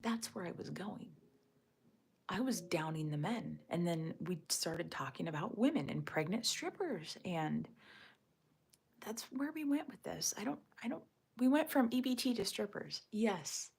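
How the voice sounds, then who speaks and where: A woman hosting a casual morning livestream from home, talking in a hushed half-whisper while others sleep, rambling and joking as she reads the chat. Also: mid-range voice, lively intonation, measured pace, vocal fry, a little hiss or hum. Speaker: livestream host reading chat. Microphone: phone or camera on a tripod.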